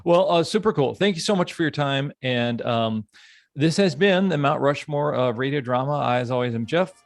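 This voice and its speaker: A man's voice: mid-range voice, animated, normal speed, creaky, dry recording. Male podcast host, casual and effusive, mid-range voice in a dry recording. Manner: enthusiastic, effusive.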